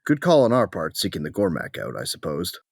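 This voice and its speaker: Low-voiced gritty soldier. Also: male voice, wildly swooping pitch, fairly quick, vocal fry, slightly rough, no echo, clean studio sound, full character voice. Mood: grim, dry. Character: gritty male soldier narrator, battle-hungry, protective. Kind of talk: first-person narration in a sci-fi audio drama.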